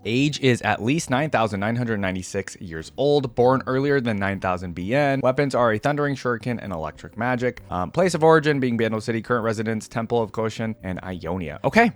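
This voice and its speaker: Male gaming reactor, medium pitch. Informal, off-the-cuff commentary, rambling and thinking aloud. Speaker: video creator reacting to game characters.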